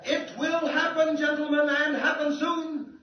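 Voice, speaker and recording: A grave scientist of an alien world in an old radio play, his voice pitched very high and ringing through a cavernous space. He declaims with urgent, solemn passion, set back from the microphone. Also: little pitch movement, unhurried, slight background noise. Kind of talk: 1940s radio drama acting. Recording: dull old radio recording, echoey hall, distant.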